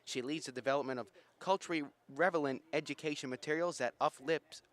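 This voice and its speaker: A man's voice: on the high side, fast talker, a bit bright. A man giving colour commentary on a sports broadcast, partly reading from notes.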